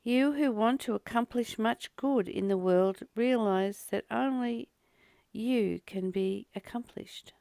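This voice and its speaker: A woman hosting a spiritual study, reading a text aloud and commenting on it in deliberate, measured phrases. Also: a little high, vocal fry, dark tone, no room sound. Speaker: host of daily spiritual readings.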